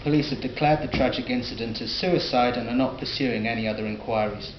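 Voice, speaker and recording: Man doing a radio DJ, Northern English accent, flat broadcast delivery, dull roomy lo-fi recording. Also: mid-range voice, a little fast, a little hiss or hum, close to an everyday voice. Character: male radio DJ, newsreader and doctor. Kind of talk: scripted audio drama reading.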